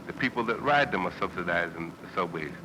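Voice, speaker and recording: American man, very low voice, arguing politics in an interview on noisy old documentary film. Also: expressive, fast. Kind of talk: impassioned political interview talk. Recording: noisy old newsreel, traffic-like ambience.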